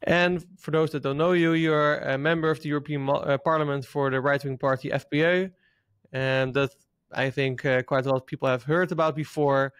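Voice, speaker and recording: A man with a Dutch accent hosts an interview in English, asking friendly questions with small hesitations. His voice sits a little high for a man. It is recorded close and dry. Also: fairly even intonation, fairly quick, a bit bright, clear, resonant tone, no room sound. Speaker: interviewer, host. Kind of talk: casual interview.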